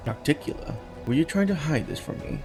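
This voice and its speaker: An actor playing an earnest knight commander in a fantasy video game, direct and questioning. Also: male voice, a little high, expressive, fairly quick, over music, close to an everyday voice. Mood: troubled, challenging.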